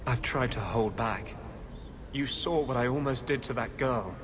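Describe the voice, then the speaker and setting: A man plays an earnest, tormented rebel mage from a fantasy game. He speaks with brooding intensity at a medium pitch, over clear background noise. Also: unhurried, natural voice. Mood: anguished, passionate. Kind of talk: fantasy video game acting.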